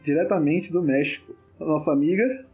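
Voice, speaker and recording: A man from Brazil's Northeast hosting a casual podcast, joking and relaxed with many fillers. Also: a little high, brisk, lo-fi sound, soft background music. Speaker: podcast host.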